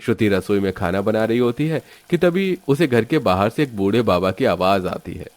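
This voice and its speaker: A man's voice: lowish voice, animated, moderate pace. A man narrating a scripted moral story as its storyteller.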